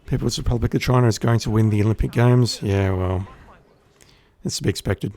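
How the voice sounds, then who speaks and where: An Australian man giving relaxed, chatty commentary as he plays a game on stream, with a wry humor. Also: fairly low pitch, a little fast. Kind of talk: casual gameplay commentary.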